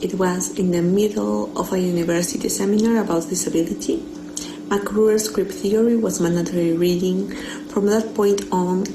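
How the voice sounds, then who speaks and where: A woman, carefully reading a prepared conference talk over a webcam. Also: on the low side. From Peru. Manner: careful, measured reading from a script. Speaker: conference speaker. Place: indoor room at home. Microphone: webcam video call. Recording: echoey room with clear background noise.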